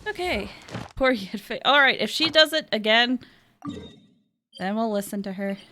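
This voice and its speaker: A woman's voice: on the high side, animated, normal speed, music in the background. A woman live-streaming a video game, chatting casually and playfully with little exclamations and sound words. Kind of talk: casual chat while playing. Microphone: streaming microphone.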